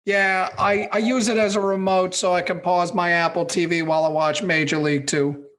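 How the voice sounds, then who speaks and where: Someone doing a cranky old boomer, indignant and stubborn, grumbling through a radio comedy review bit. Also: little pitch movement, normal speed, a bit bright, lightly acted. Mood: defensive. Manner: indignant, complaining. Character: grumpy, stubborn, technophobic older movie reviewer, a boomer. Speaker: movie recap co-host.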